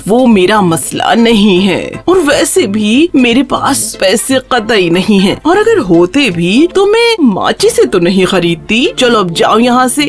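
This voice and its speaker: A woman's voice: fairly high pitch, very animated, hardly any pauses, faint music behind. A woman playing a haughty, scornful mother who is curt and dismissive, in a children's cartoon story.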